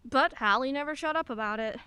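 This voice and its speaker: A woman's voice: high-pitched, brisk, pushed and bright, smooth, no room sound. An actress playing a wry, candid single mother in her forties in a radio play, a lawyer who laughs easily while talking. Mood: conversational, wry.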